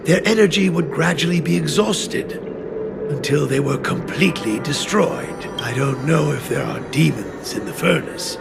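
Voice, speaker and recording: An actor playing a stern, earnest master artisan in a video game cutscene, speaking in a measured, formal, solemn way. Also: male voice, high-pitched, gravelly, exaggerated voice. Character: stern, earnest master artisan who tends an ancient furnace. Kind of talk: scripted game cutscene dialogue, expository storytelling. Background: prominent music.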